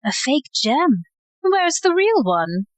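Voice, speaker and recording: A woman's voice: high-pitched, big pitch swings, takes it slowly, dry recording, heavily acted. An actress playing a wry, genteel society lady in an audio play, with an arch, theatrical delivery. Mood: wry, incredulous.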